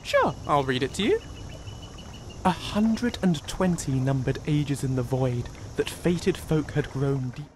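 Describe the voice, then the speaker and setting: Man playing a lively, bookish male scholar. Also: a little high, measured pace, a little hiss or hum. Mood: solemn reading. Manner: eager, articulate, self-deprecating. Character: witty, bookish young male Imperial scholar, timid adventurer. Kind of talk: video game companion dialogue. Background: music.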